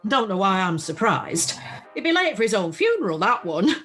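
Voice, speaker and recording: A woman's voice: mid-range voice, sing-song, normal speed, fairly bright tone. A woman playing a chatty, plucky older widow in an amateur radio play, with a Northern English accent and an earthy, self-deprecating manner. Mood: flustered, chatty. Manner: earthy, self-deprecating, uses homely dialect. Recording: faint music, home video-call recording.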